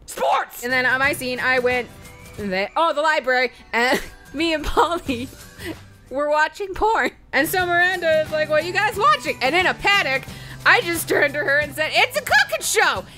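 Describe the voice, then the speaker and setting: Very animated, bright-voiced woman storyteller. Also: sits high, normal speed. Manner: acts out quoted lines, profane, playful. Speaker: storyteller, let's play host. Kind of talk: animated comedic retelling of a game session. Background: background music.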